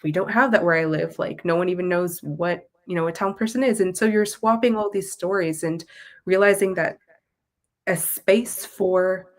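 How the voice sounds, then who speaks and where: A woman's voice: fairly low pitch, moderate pace. A woman with a Canadian accent speaking as an interview guest in a reflective, articulate, conversational way. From Toronto, Canada. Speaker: guest, content creator and Tamil language teacher.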